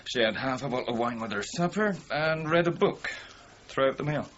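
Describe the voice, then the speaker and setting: A man's voice: medium pitch, expressive, a little fast, a bit bright, lightly acted. An actor playing a deferential British police officer in a radio drama, giving a matter-of-fact report to his superior. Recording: dull lo-fi radio recording, faint noise.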